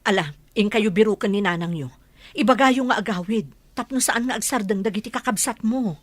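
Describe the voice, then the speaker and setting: A woman's voice: mid-range voice, brisk, dry recording, lightly acted. A woman playing a concerned, persuasive village auntie in a radio drama, earnest and urging. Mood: advising.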